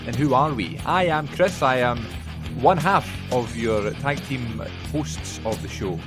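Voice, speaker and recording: A young man co-hosting a podcast in quick, upbeat casual chat. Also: medium pitch, over music. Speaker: podcast co-host.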